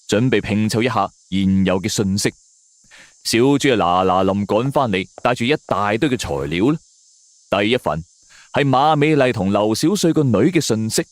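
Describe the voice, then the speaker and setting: A man's voice: on the low side, no echo. A man narrating a suspense story in a colloquial, dramatic storyteller style. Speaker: audiobook narrator.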